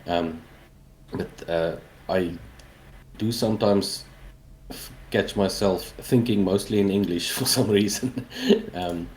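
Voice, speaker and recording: Deep-voiced South African man, noisy recording. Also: takes it slowly, a little mellow. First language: Afrikaans. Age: 40s. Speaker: interview guest, indie game developer. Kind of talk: casual interview answers.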